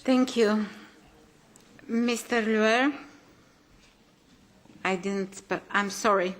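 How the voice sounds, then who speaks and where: A woman chairing a parliamentary committee hearing, polite and a little halting as she hands out the floor. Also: mid-range voice.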